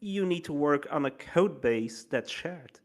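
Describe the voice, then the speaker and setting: Man, native Dutch speaker, interviewer, slow casual delivery. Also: a little high, lively intonation. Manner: casual and unhurried, asks questions. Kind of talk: livestream interview.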